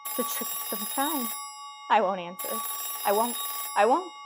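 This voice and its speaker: Woman acting a frantic, high-voiced, nervous wife. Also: animated, normal speed, lightly acted. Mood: fearful, agitated. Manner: overwrought, imperious, melodramatic. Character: frantic, nervous, demanding married woman home alone. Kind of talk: retro radio thriller acting.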